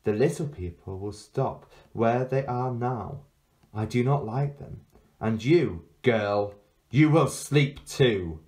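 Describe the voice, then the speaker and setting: A man's voice: mid-range voice, slow, a little mellow, lightly acted. A man reading a storybook, voicing an ancient enchantress who looks like a young girl, imperious and falsely innocent, drawing out her words. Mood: menacing.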